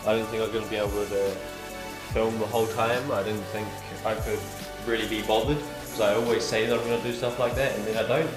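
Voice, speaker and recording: A young man, croaky with a cold, chatting upbeat to camera in his living room, filming at arm's length. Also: lowish voice, steady tone, fast talker, over music, poor audio. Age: young adult. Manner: chatty, upbeat, filler-heavy. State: hoarse with a cold. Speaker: vlogger. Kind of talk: casual vlog chat to camera. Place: living room at home, indoors.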